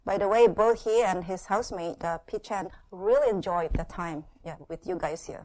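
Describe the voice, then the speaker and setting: A middle-aged woman from Indonesia shares her church testimony in short, unhurried phrases, pausing often for an interpreter, with frequent "uh" and "yeah" and light laughs. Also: medium pitch, a little mellow, a little hiss or hum, poor audio. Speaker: guest speaker sharing her testimony.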